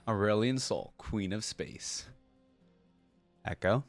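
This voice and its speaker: A man's voice: on the low side, lively intonation, extremely slow, gravelly. A man hosting a reaction video, commenting casually and musing aloud in an informal way. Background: faint music.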